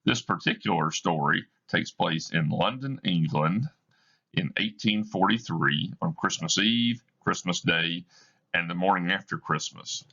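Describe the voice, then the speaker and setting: A male teacher reading a play aloud for a class, explaining slowly and deliberately. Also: sits low, sharp tone, muffled recording.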